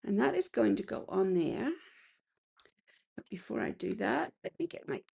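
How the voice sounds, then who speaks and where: Casting: unhurried crafter woman, gravelly and husky, croaky today. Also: medium pitch, sing-song, warm tone. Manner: chatty, clears throat often. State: slightly croaky voice. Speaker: card-making crafter. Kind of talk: casual craft tutorial.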